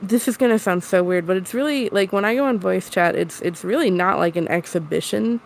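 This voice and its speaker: Deep gravelly woman with a lisp. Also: brisk, rough recording. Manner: rambling, lots of "like" and restarts. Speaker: interview guest. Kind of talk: candid casual chat.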